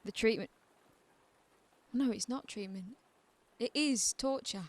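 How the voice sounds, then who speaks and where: A woman's voice: a little high, slow pace. A woman playing a defiant, bitter asylum patient in a radio drama, with a probing, wry edge to her talk. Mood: indignant, impassioned.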